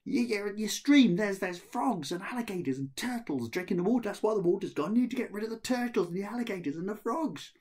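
A man's voice: mid-range voice, unhurried, creaky, mellow, slightly rough. A man voicing a clever, bold young male hero in a folktale, who calls out urgent warnings and stern orders.

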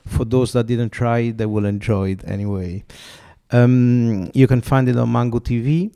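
A man with an Italian accent hosting a podcast interview, halting and searching for words as he asks questions. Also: mid-range voice, fairly even intonation, creaky, warm tone, clear, resonant tone. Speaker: host.

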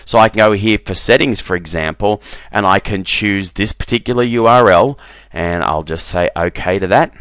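Man, low voice, dry close sound, relaxed screen-recording walkthrough with filler words. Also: normal speed, smooth, no echo. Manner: relaxed, repeats "very, very" and fills with "uh". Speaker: software demonstrator.

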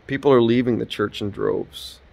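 A man's voice: moderate pace, vocal fry, dark tone. A man in his forties giving an earnest, informal sermon, filmed on a phone held at arm's length inside an empty old church. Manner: earnest, preaching, rises and falls in pitch. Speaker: preacher.

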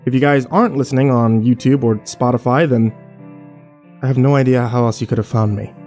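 Casting: male horror channel host, mellow and conversational, jokey rambling sign-off, faint music underneath. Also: medium pitch. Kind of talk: casual channel outro.

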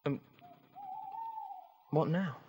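An actor playing a naive, petulant alien travelling companion in an audio drama, with a sulky, whinging manner. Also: male voice, extremely slow, muffled recording, a little hiss or hum. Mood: uncertain.

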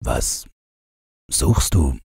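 Man acting a very deep, husky fairy-tale king. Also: sing-song, extremely slow, a little mellow, dry recording, clean studio sound, full character voice. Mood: tender. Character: grumpy but affectionate fairy-tale king, adult husband. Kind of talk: storybook character voice.

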